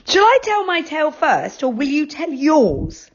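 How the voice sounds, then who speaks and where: A woman's voice: high voice, huge pitch swings, a little slow, voice pushed up, full character voice. A woman voicing an eager, cheerful young boy in a children's story. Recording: dull lo-fi recording.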